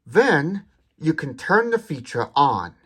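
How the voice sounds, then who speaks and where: A man's voice: on the high side, big pitch swings, takes it slowly, fairly bright tone, breathy edge. A man giving an explanatory product demonstration in a methodical, precise way, stressing key words. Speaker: tech demonstrator.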